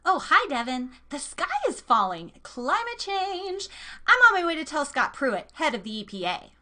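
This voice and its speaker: A woman voicing an excitable young female hipster in a comedy radio sketch, with earnest urgency and dramatic emphasis. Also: high-pitched, moderate pace, exaggerated voice. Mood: alarmed. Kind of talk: live comedy sketch read as radio play.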